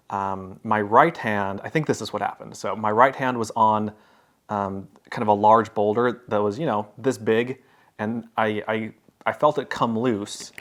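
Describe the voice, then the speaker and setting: A man's voice: fairly low pitch, fairly bright tone. A man vlogging to camera, telling a story in a casual, rambling way, full of fillers and restarts. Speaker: travel vlogger.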